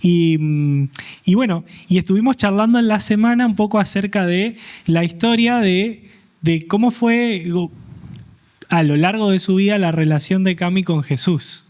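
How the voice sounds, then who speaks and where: A man hosts a church youth interview, joking lightly and pausing with fillers. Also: high voice, a little mellow. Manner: friendly, joking, halting with fillers. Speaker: host.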